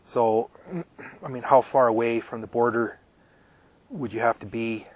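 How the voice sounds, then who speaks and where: A man talking live to his viewers from his camper out in the desert, his voice worn thin and his throat cleared again and again. He speaks at an ordinary middle pitch, halting through ums and repeated words as he answers questions. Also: steady tone, dry recording, highs cut off. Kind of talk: live casual Q&A.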